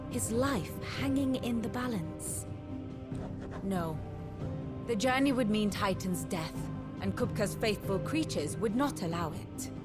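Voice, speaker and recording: A woman's voice: medium pitch, lightly acted. A woman playing a formal, eloquent fantasy strategist, music behind. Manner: formal, archaic, measured explaining. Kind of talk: scripted fantasy game dialogue.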